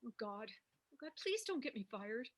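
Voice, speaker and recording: Gal doing a perky young diner waitress, folksy, high voice that bounces all over, script read. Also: female voice, big pitch swings, moderate pace. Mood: flustered. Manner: folksy, chirpy. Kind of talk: screenplay table read.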